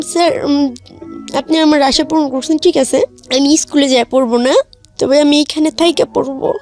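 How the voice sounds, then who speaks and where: A woman's voice: very high-pitched, vocal fry, a little mellow. A woman with a Bangladeshi accent earnestly recounting her own life story in colloquial speech as an interview guest. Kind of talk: personal life-story narration in a radio interview.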